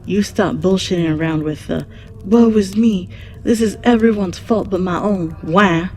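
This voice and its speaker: A woman's voice: mid-range voice, measured pace, darkish tone, faint music behind, lightly acted. A woman playing a jaded, mocking keeper of the underworld, brash, foul-mouthed and drawling, in an audio drama. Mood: scornful, exasperated. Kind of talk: scripted audio drama monologue.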